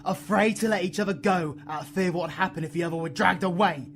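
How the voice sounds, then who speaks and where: A man with a British accent playing a brooding, foul-mouthed dark-magic supervillain in an audio roleplay, intense and full of slang. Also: sits high, fast talker, a little hiss or hum. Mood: urgent, defiant. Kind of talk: dramatic audio roleplay acting.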